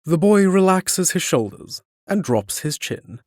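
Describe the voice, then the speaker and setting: A man's voice: high-pitched, very animated, a little slow, dry recording, clean studio sound. A man reading scripted narration for a fantasy audio drama.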